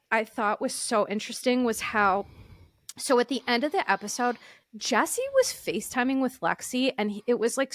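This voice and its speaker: A woman co-hosting a podcast in casual chat, with a loud voice and an animated, opinionated way of talking. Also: on the high side, dry recording, studio quality. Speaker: podcast co-host.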